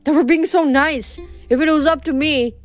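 A woman's voice: sits high, normal speed, voice pushed up, close to an everyday voice. A woman voicing a curt, threatening male god of war. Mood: menacing. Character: gruff menacing god of war, man.

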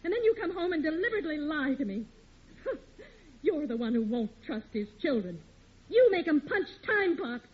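An actress playing an exasperated, sharp-tongued American wife and mother in an old radio sitcom, scolding and emphatic. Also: sits high, very animated, normal speed. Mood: angry scolding. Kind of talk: 1950s radio sitcom acting. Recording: tinny old radio broadcast recording.

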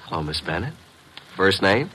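Old radio sidekick: wisecracking ladies' man, lowish voice, glib, swooping delivery, noisy vintage recording. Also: big pitch swings, moderate pace, bright, forceful tone, full character voice. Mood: charmed, flirtatious. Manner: glib, wry, smooth-talking. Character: breezy, flirtatious wisecracking male detective's assistant. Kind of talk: old-time radio mystery acting.

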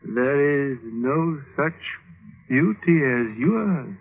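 An actor playing a flattering, courtly palace owner with florid speech, in a 1950s radio drama. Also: male voice, mid-range voice, soft background music, exaggerated voice. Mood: amorous, flattering. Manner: slow, florid, smooth-talking. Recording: tinny, narrow-band old radio recording.

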